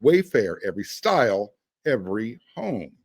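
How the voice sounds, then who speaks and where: A man's voice: fairly low pitch, big pitch swings, moderate pace, breathy edge, dry recording. A man hosting a sports podcast, talking casually and reading sponsor spots. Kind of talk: casual sports talk and ad reads.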